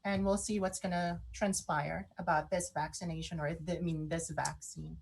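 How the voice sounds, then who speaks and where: A woman with a Filipino accent chatting casually as a radio co-host, expressive, often halting and repeating words as she goes. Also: fairly low pitch, vocal fry, husky. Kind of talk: casual chat.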